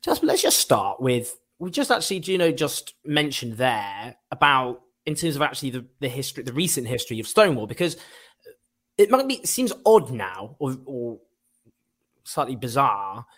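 A man's voice: mid-range voice, sing-song, normal speed, a bit bright. A man from the north of England hosting an interview in a chatty, enthusiastic way, with a light Northern accent. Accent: light Northern English, mostly neutral. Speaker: host.

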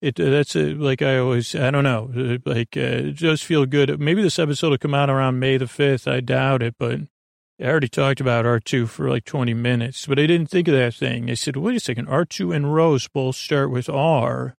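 A man with a gravelly, fry-laden voice at an ordinary male pitch hosts a sleep podcast. He wanders from thought to thought, halting and trailing off before he finishes. The sound is very dry, with no room around the voice. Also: steady tone, no room sound. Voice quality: gravelly, creaky. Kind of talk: rambling, digressive solo monologue.

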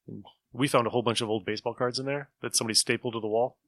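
Man, podcast co-host, bright forceful voice, dry close sound, average pitch, casual animated retelling. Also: racing, no room sound. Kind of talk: casual chat about old-time radio.

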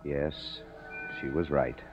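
An actor playing a cynical, self-reproaching young screenwriter, wry and hard-boiled, in a 1950s radio drama. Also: male voice, a bass voice, little pitch movement, slow pace. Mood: brooding, wry. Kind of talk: 1950s radio drama, first-person narration and dialogue. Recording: muffled old radio broadcast with music.

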